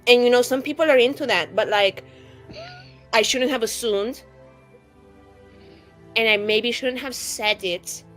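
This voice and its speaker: A woman's voice: on the high side, unhurried, long pauses, fairly bright tone, faint music behind. A woman voicing a brash, foul-mouthed young female mage of twenty-two in a rambling, dramatic tabletop roleplay. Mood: petulant. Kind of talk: improvised in-character roleplay.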